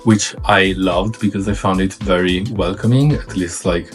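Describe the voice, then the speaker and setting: A man in his thirties from Italy with an Italian accent, co-hosting a casual travel chat, halting with many fillers. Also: deep voice, steady tone, soft background music. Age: 30s. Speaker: co-host.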